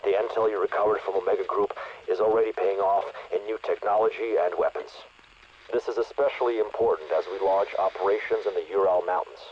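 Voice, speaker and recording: A man's voice: deep voice, steady tone, a little fast. A man playing a stern, earnest special operations leader briefing troops over the radio in a game. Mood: resolute, urgent. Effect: radio transmission. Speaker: commanding officer. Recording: muffled radio with faint noise.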